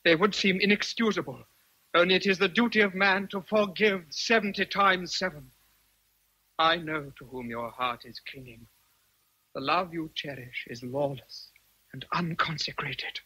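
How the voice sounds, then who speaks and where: A man's voice: fairly high pitch, normal speed, pushed and bright, breathy edge, no echo, low-quality recording. An actor with an English accent playing a stern, zealous Victorian clergyman suitor in a radio drama, solemn and commanding. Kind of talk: BBC radio drama acting.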